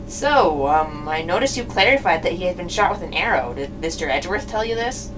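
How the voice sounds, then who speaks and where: Woman acting a young male lawyer, flustered, lively intonation, slightly low, noisy recording. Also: brisk, natural voice. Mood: hesitant, probing. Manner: hesitant, flustered. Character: hapless, bewildered young male defense attorney in a puppet show. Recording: distant microphone, noisy room.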